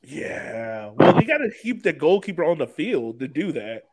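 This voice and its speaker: Animated man hosting a casual sports chat. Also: on the high side, moderate pace. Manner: informal, colloquial, joking. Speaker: podcast host.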